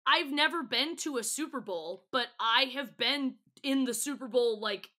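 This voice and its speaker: Podcast guest, woman. Bright, slightly high voice, informal and gushing. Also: moderate pace. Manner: gushing, enthusiastic, informal fan talk. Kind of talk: casual chat.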